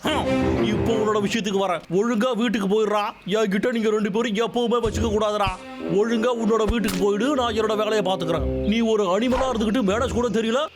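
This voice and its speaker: A man's voice: high voice, steady tone, fast talker, lightly acted. A man voicing a vain, hot-tempered, whiny logger in a dubbed children's cartoon comedy, melodramatic as he pleads and scolds. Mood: scolding. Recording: loud music behind the voice.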